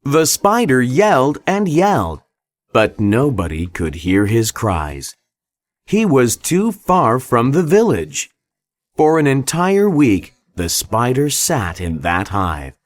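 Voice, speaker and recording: A man narrating a children's read-along story in a careful, expressive way. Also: medium pitch, takes it slowly, dry recording.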